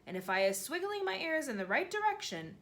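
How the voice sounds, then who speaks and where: A woman voicing a huge, gentle male giant who speaks with jumbled grammar and made-up words, earnest and full of wonder. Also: high voice, very animated, fairly quick, pitched above the performer's own voice, heavily acted.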